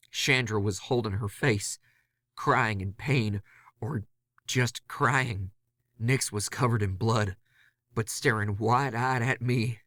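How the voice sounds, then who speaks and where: An actor playing a haunted, panicked man recording a halting, anguished confession in a horror audio drama. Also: fairly low pitch, no room sound, studio quality. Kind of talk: first-person horror audio drama monologue.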